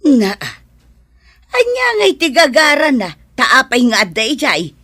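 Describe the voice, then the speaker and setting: Sharp, scolding Ilocano village mother. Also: high-pitched, wildly swooping pitch, unhurried, heavily acted. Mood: accusing. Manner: accusing, blunt. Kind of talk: comedic radio drama acting.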